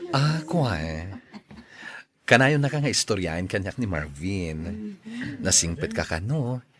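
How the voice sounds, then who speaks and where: A man acting a smooth-talking, admiring boss in an Ilocano-accented radio drama. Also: lowish voice, big pitch swings, unhurried, crowd noise. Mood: flattering.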